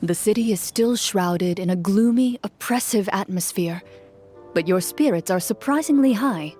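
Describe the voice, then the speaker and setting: Woman narrator, mid pitch, measured storytelling, faint music behind. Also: a little mellow. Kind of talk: second-person game narration.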